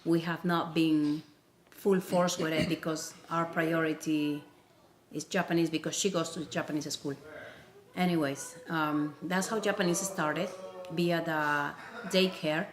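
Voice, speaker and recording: A woman's voice: deep voice, steady tone, measured pace. A woman from Peru, Spanish her first language, chatting as an interview guest, hesitant with many restarts. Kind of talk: casual interview chat. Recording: noisy background.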